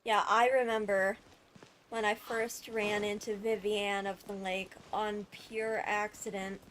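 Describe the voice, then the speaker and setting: A woman's voice: medium pitch, level tone, slow, a bit bright, slight background noise, rough recording. A woman casually commentating as she streams a video game, playful and prone to little sing-song noises. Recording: game music behind.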